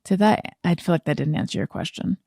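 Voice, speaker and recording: A woman's voice: fairly low pitch, fast talker, gravelly, a little mellow, no echo, studio quality. A woman hosting a podcast, chatting casually in a candid, blunt way with self-deprecating humour. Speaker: podcast host. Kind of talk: casual conversational podcast chat.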